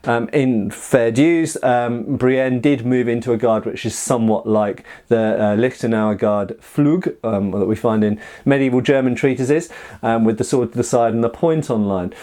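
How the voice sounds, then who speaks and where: A man's voice: medium pitch, moderate pace, mellow. A man hosting a conversational review, explaining techniques with firm opinions and emphatic asides. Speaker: host, martial arts commentator.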